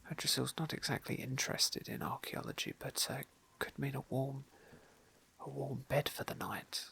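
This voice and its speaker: Breathy, whispery man narrating a game. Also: mid-range voice, normal speed, pushed and bright. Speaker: host narrating a game playthrough. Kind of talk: ASMR roleplay game commentary.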